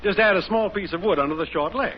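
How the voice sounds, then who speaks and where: A smug, bickering American neighbor acted by a man in a fifties radio comedy. His voice is high and lively. The vintage recording is dull and clearly noisy. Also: fast, lightly acted. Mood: boastful. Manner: smug, teasing. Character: cocky, bickering, know-it-all male neighbor. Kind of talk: 1950s radio sitcom acting.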